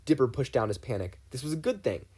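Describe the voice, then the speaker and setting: Husky, lively podfic reader. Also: mid-range voice, fast talker. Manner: lively storytelling with voiced characters. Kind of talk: fan fiction audiobook narration.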